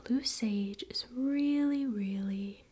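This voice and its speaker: A woman whispering softly in a soothing, relaxed and informal way, as an ASMR host. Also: a little high, slow pace, husky. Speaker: ASMR video host and spiritual practitioner. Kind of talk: soft-spoken whispered ASMR.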